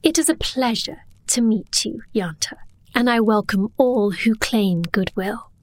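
A woman's voice: a little high, unhurried, a little mellow, close to an everyday voice. An actress playing a sheltered, proud young sorceress who tells her own story in an earnest, intimate way in a fantasy audio drama. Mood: polite, curious. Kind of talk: first-person fantasy audio drama narration and dialogue.

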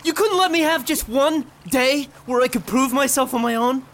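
An actor playing a resentful, wounded young college rower in a scripted audio drama. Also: male voice, very high-pitched, normal speed, fairly bright tone. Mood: hurt, angry. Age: young adult.

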